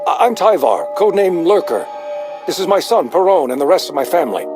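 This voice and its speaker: A man's voice: fairly high pitch, sing-song, brisk, over music. An actor playing an earnest retired spy and family father in a video game, anxious and hesitant. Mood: apologetic, grateful. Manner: earnest, hesitant, repeats himself. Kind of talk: scripted video game dialogue.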